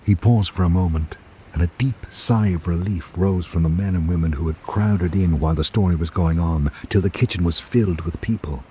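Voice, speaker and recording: A man with a deep, mellow voice narrates a literary story. There is faint ambient noise behind him. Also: normal speed.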